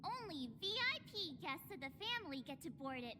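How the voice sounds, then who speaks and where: An actress playing a perky, kind-hearted young girl adventurer with expressive, theatrical delivery in a video game. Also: high voice, a little fast, noisy background. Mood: excited. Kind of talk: scripted video game dialogue. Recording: game music behind the voice. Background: game music.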